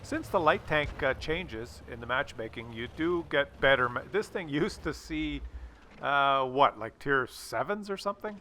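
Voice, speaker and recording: A man's voice: mid-range voice, lively intonation, normal speed, bright, forceful tone, slight background noise. A man giving casual commentary on a game replay, with a jokey, crude sense of humour and a habit of repeating his phrases. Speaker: host of gameplay commentary video.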